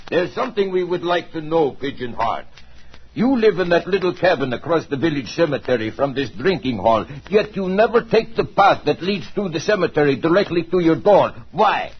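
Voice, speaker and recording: An actor playing a mocking, bullying young Cossack lieutenant in a 1940s radio drama, husky and swaggering. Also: male voice, fairly high pitch, lively intonation, moderate pace, a little hiss or hum, natural voice. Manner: taunting, swaggering. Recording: husky-sounding dull 1940s radio recording.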